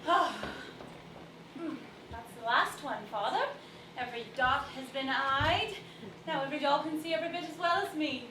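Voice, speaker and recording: An actress playing a cheerful, witty young Victorian daughter in a stage play, playful and articulate. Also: female voice, high voice, moderate pace, pauses often, lightly acted. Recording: echoey stage, distant mic, faint noise.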